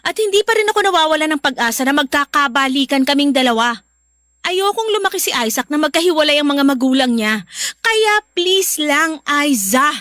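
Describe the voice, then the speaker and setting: A high-voiced, theatrical drama woman, speaking emphatically with big swoops of pitch. The delivery is radio drama, recorded close and dry. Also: animated, moderate pace, fairly bright tone, dry recording. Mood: indignant, pleading. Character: indignant estranged wife, pleading fiercely; also teasing coworker.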